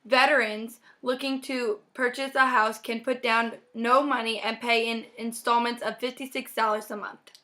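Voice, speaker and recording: Bright, high-voiced young woman anchoring news. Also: fairly even intonation, normal speed, hardly any pauses. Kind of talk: scripted 1950s-style newscast, student project.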